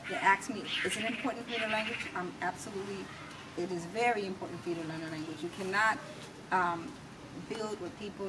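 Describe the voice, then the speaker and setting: Interview guest, female, American accent, small lowish voice, conversational, picked up from a distance. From United States. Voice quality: small, soft-projecting voice. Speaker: interview guest, natural healer and spoken word artist. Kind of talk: casual interview talk. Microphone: camera mic at a distance, no wireless mic. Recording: distant mic, noisy ambient background.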